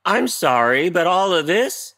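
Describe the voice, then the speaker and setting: Proud unyielding dad, firm, reproachful, very animated delivery, comedy audio drama. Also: male voice, sits high, unhurried, exaggerated voice. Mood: stern. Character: stern middle-aged father, proud, unyielding. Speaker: actor in audio play.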